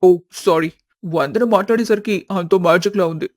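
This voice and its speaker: A man's voice: high-pitched, normal speed, no room sound, close to an everyday voice. A man voicing a defensive, earnest young man in love in a story.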